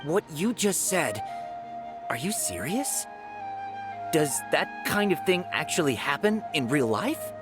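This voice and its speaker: An excitable, self-assured fortune-teller for a video game. His voice sits a bit high and swings widely with theatrical expression. Music is clearly audible behind him. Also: slow pace. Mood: flustered.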